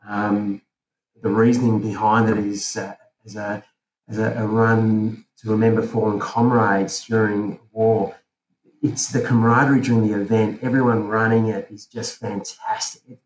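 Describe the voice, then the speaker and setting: A man from South Africa, a podcast guest talking casually and hesitantly, with many fillers and restarts. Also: fairly low pitch. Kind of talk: casual interview chat. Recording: roomy with faint ambient noise.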